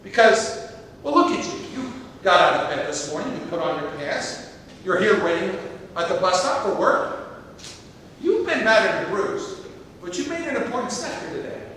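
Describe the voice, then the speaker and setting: Distant, very reverberant stage recording: male actor as an eccentric, precise, pedantic stranger, earnest and theatrical. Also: a bit bright. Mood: encouraging. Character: eccentric, chatty, pedantic but kindly stranger at a bus stop. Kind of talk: live stage comedy acting. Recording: distant, echoey theatre hall, noisy rumble.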